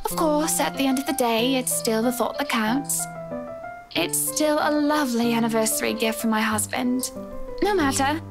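Game role: refined, gently teasing society wife, high voice, music underneath. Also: female voice, normal speed. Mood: amused. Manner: gentle, polished, terms of endearment. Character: elegant, composed, affectionately teasing married lady. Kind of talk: scripted visual novel voice acting.

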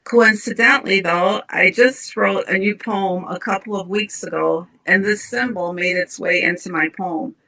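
A middle-aged woman on a video call, a poet speaking calmly in an interview and reading her verse slowly. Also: mid-range voice, creaky, rough recording. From United States, Ukrainian-American community. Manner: calm, measured, reads verse slowly. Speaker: poet, guest. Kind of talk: interview answers and poetry reading. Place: home room with bookshelves.

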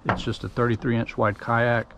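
A middle-aged man with a Texan accent, giving a relaxed, folksy step-by-step how-to while working at a pickup truck tailgate outdoors, with frequent ums and uhs. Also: mid-range voice, normal speed. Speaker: DIY tutorial host. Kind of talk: casual step-by-step how-to narration. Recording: noisy outdoor camera audio.

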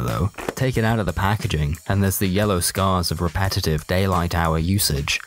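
A man's voice: deep voice, background noise. A man narrating a first-person comic short story in a dry, colloquial, sardonic way. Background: music.

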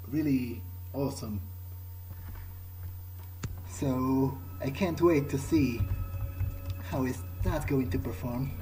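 A man narrating a gameplay video in character, thinking aloud in a halting way. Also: mid-range voice, warm tone, a little hiss or hum, rough recording. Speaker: gaming video host. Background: game music.

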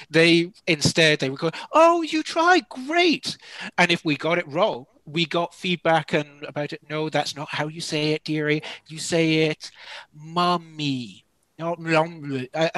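A man's voice: a little high, sharp tone, dry recording, rough recording. A man presenting a live webinar in casual, self-deprecating chat, his mouth dry from talking. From Scotland. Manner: self-deprecating, chatty, digressive. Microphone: video call.

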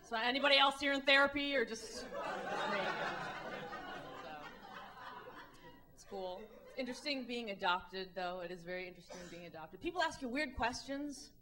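A woman in her thirties, from the Midwest, doing a wry, animated stand-up set on a club stage with a handheld mic and acting out the voices of people she quotes. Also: a little high, normal speed, long pauses, a crowd in the background. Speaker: stand-up comedian. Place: comedy club stage, indoors.

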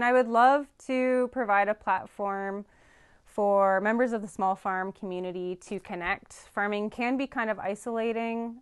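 A woman's voice: medium pitch, smooth. A young woman farm veterinarian answering interview questions thoughtfully, with frequent ums and restarts, seated in a studio. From Canada. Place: studio with dark backdrop.

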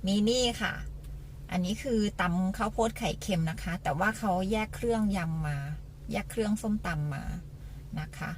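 A woman's voice: medium pitch, moderate pace, a little mellow, slight background noise. A woman casually hosting a food vlog, talking politely and repeating her phrases as she explains. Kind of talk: casual mukbang food presentation with eating.

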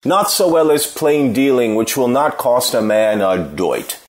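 An actor playing a churlish cynic philosopher in a Shakespeare reading, answering with biting contempt. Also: male voice, mid-range voice, lively intonation, a little slow, natural voice. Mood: scornful, biting. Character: Apemantus, scornful churlish cynic philosopher, male. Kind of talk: unrehearsed Shakespeare play reading.

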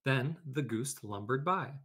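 A man's voice: mid-range voice, animated, unhurried, a bit bright, husky. A man hosting a read-aloud for children, talking informally and explaining things, or reading a storybook. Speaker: educator, read-aloud host.

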